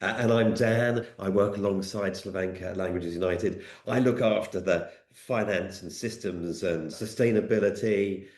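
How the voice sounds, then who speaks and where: Very deep-voiced man, echoey room. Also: moderate pace, hardly any pauses, a little mellow. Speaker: language school finance manager. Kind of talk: podcast interview.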